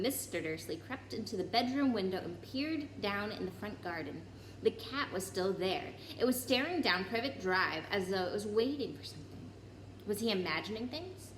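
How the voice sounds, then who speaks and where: A woman reading a children's story aloud in an expressive way, with occasional small stumbles over the text. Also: mid-range voice. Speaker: story reader. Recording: roomy echo, clear background noise.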